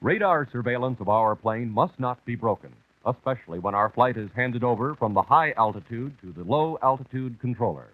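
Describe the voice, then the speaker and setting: A man's voice: medium pitch, animated, fairly quick, few pauses, no echo. An American man reading scripted documentary narration on an old, muffled 1960s television soundtrack. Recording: muffled 1964 TV kinescope, narrow band.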